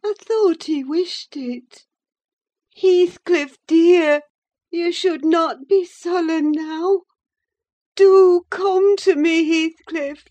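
A woman reader voicing a feverish, dying young woman who is yearning and proud, in a high, breathless, pleading voice. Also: drawn-out words, warm tone, full character voice.

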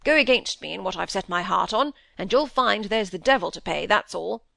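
Woman acting a gruff, blustering old country squire. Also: medium pitch, lively intonation, fairly quick, fairly bright tone, no echo. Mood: angry, commanding. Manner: blustering, emphatic, rustic old-fashioned turns of phrase. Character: gruff, hot-tempered old country squire, stubborn father. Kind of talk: audiobook character dialogue.

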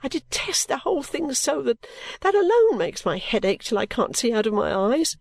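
A woman voicing a sulky, overworked young woman who complains abruptly and breaks into pitched outbursts. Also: very animated, moderate pace, vocal fry, darkish tone, no echo, heavily acted. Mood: exasperated.

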